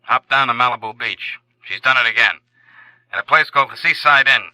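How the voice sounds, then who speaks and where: An actor playing a brisk, urgent American insurance man giving orders by telephone in an old radio drama. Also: medium pitch, pushed and bright, dry recording, low-quality recording. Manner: brisk, directive.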